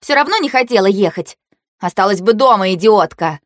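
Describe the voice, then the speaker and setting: A woman voicing a quarrelsome, hot-tempered young lady in an audiobook, shrill and snapping. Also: expressive, brisk, no echo, studio quality, close to an everyday voice. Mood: angry, complaining.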